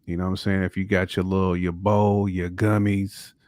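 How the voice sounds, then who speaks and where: A man's voice: low-pitched, little pitch movement, moderate pace, a little mellow, dry recording, studio quality. An American man co-hosting a podcast, chatting casually in a laid-back, slangy way. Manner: laid-back, slangy, peppered with "you know what I'm saying". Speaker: podcast co-host. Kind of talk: casual chat with shout-outs.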